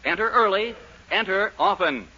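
A man's voice: high-pitched, expressive, normal speed, background noise. An American man announcing a 1940s radio show with polished, emphatic scripted delivery. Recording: dull 1940s radio recording.

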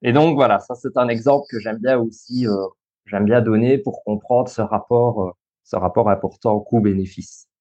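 A man's voice: lowish voice, steady tone, normal speed, dark tone. Belgian guy, 30, chatty explainer, lots of euh, kinda dull lo-fi sound. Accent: Belgian French. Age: 30s. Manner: explanatory, many fillers and restarts, easy laughs. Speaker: guest, school coach and social worker. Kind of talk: podcast interview.

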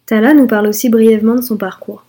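A woman podcast host reading short scripted introductions in a brisk, matter-of-fact way. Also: on the high side, fairly even intonation, dark tone.

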